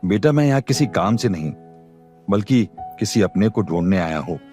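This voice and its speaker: An actor playing an affectionate, wistful older uncle in an audio drama, speaking hesitantly. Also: male voice, fairly low pitch, lively intonation, moderate pace, a little mellow, soft background music, natural voice. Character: warm older uncle, wistful, searching for family. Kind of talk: Hindi audio drama acting.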